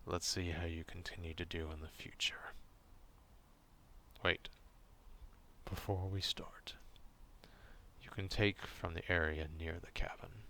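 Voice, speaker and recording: A man acting out a gruff, proud, distrustful werewolf in an ASMR roleplay, stern and curt but grudgingly caring, in a gravelly half-whisper. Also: deep voice, flat delivery, a little slow, long pauses, sharp tone, a little hiss or hum, full character voice. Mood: grudgingly generous.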